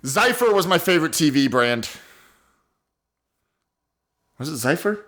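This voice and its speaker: A man's voice: a little high, very animated, fairly quick, fairly bright tone. A man co-hosting an improv comedy podcast in a casual, bantering, conversational way. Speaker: podcast co-host.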